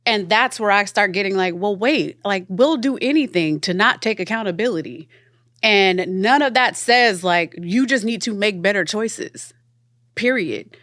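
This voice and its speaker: Blunt woman podcaster, mid-pitched voice, talking casually and emphatically, dry recording. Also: lively intonation, normal speed, fairly bright tone, dry recording. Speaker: podcast host. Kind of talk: casual opinionated podcast debate.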